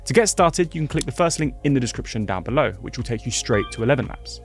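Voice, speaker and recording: A male tutorial host who talks quickly, at a medium pitch. He explains each step clearly over faint background music. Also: animated. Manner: brisk, clear, instructive. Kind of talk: scripted tutorial narration.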